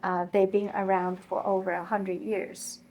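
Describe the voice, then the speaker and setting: A woman's voice: mid-range voice, little pitch movement, a little slow, darkish tone. A woman hosting a chamber of commerce interview, affirming her guests and sharing a community example. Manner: affirming, frequent listening murmurs. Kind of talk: conversational interview.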